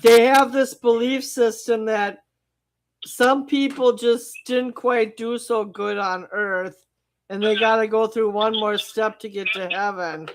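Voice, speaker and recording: A woman's voice: a little high, steady tone, unhurried. Woman host losing her voice. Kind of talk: casual phone-in chat.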